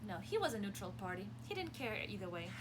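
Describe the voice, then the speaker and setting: A woman reading a picture book aloud to young children as a preschool teacher, expressively, pausing to explain simply and ask questions. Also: medium pitch, noisy background. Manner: expressive, asks questions and pauses for answers.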